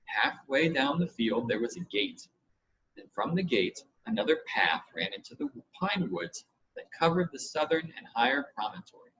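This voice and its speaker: A man reading a children's adventure story aloud in expressive scripted narration. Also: mid-range voice, normal speed. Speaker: audiobook reader. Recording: dull, lo-fi with audible background noise.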